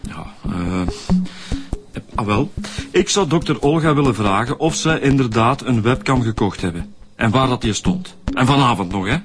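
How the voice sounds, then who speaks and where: A man's voice: mid-range voice, moderate pace, natural voice. A man with a Flemish accent playing an eager, talkative detective in a radio drama. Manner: eager, chatty, excitable. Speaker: police detective. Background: music.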